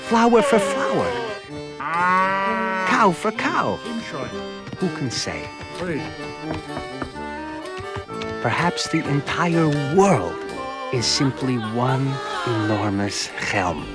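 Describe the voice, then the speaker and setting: An animated village simpleton. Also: male voice, a little high, slow pace, pauses often. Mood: philosophical, puzzled. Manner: musing, rhetorical questions, folksy asides. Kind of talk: comic folk-tale narration for animated short. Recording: music behind the voice. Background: music.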